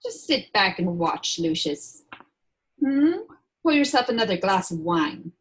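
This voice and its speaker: A woman playing a menacing, imperious witch villain in a script read, taunting with mock-sweet endearments and drawn-out words. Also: medium pitch, sing-song, breathy edge. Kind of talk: table read of a film script.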